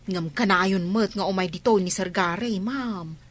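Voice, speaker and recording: A woman's voice: medium pitch, unhurried, lightly acted. A woman playing a nosy, teasing household confidante in a radio comedy drama. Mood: probing.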